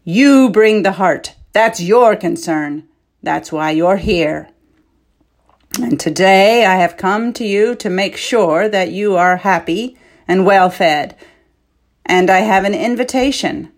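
A woman's voice: medium pitch, slow pace. A woman reading a story, voicing a domineering, scheming king who speaks harshly. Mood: commanding. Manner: commanding, harsh.

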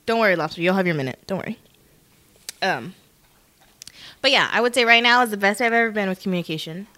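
A woman's voice: mid-range voice, animated, quick pace, sharp tone, dry recording. A woman in her mid twenties co-hosting a podcast, talking casually and informally in loose, unscripted phrases. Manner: informal, frequent "like" as filler. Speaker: podcast co-host.

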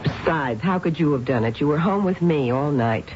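A woman's voice: sits low, lively intonation, moderate pace, a little mellow. An actress playing a sensible, concerned wife who reasons insistently with her husband in an old radio drama. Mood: wry, reassuring. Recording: muffled, noisy old radio recording.

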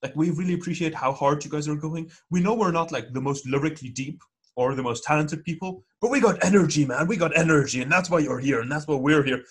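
Man putting on a high, loud Swedish rock frontman hyping a crowd. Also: fast talker, close to an everyday voice. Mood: pumped. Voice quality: loud, pumped-up stage banter. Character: hyped male rock frontman thanking the crowd.

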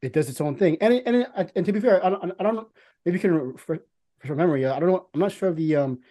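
Male podcast host, very quick delivery, casual and rambling, circles back mid-sentence. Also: high-pitched, dark tone. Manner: rambling, halting, often restarting sentences; profane. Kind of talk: casual film discussion.

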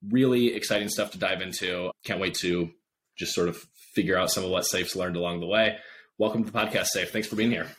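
A man's voice: deep voice, fairly even intonation, fairly quick, a bit bright, a little hiss or hum. A man hosting a podcast in a casual, informal and affirming conversational style. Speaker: podcast host.

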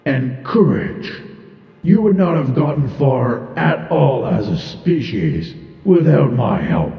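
A man playing a proud, stern, ancient god of war who speaks in a grandiose, formal, deliberate way, as in a theatrical monologue. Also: medium pitch, gravelly, exaggerated voice. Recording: muffled, reverberant hall effect with music.

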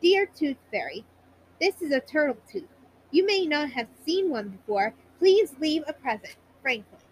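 A woman voicing a curious, worried young boy turtle from a children's storybook, with a high voice. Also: big pitch swings, moderate pace, slight background noise, low-quality recording. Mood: earnest, wishful. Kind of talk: children's storybook character reading.

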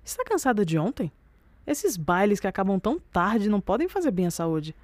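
A woman's voice: mid-range voice, sing-song, fairly quick, a little mellow, no room sound. A woman playing a solicitous, motherly Brazilian companion to a young lady in an audio drama. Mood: fussing, fond. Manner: solicitous, affectionate. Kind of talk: audio drama acting of a period novel.

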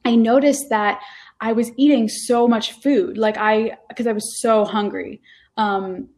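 A woman's voice: on the high side, fairly even intonation, measured pace, a little mellow. A woman in her early twenties from Rhode Island, a guest telling her story in casual, rambling talk full of fillers and restarts. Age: 20s. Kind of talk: casual interview chat.

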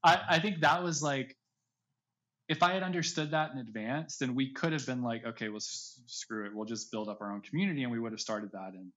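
Male interview guest, informal and conversational, mid-pitched voice, slightly lo-fi recording. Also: a little fast, a bit bright. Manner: informal, heavy use of "like" and "right". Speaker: guest, NFT project creator. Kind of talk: casual interview talk.

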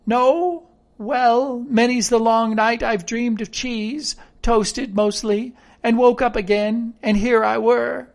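A woman's voice: fairly high pitch, fairly even intonation, takes it slowly, a little mellow, voice pushed up. A woman voicing a half-crazed marooned sailor from an audiobook, a sly, childishly eager man with rustic, ungrammatical speech. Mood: wistful. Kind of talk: audiobook character voice.

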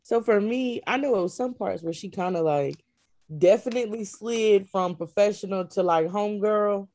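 A woman's voice: medium pitch, normal speed, a little mellow. A woman guest in a casual chat, thinking aloud in a colloquial, thoughtful way. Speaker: voice actor guest.

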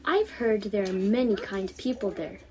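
A woman's voice: mid-range voice, normal speed, warm tone, natural voice. A teenage woman voicing a caring, cheerful aunt with a coaxing, motherly manner in a storybook. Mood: coaxing, kind. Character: caring, cheerful aunt who looks after a girl.

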